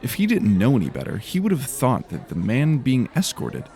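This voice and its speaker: A man's voice: medium pitch, wildly swooping pitch, moderate pace, darkish tone. A man narrating a scripted fantasy story in a dramatic storytelling style.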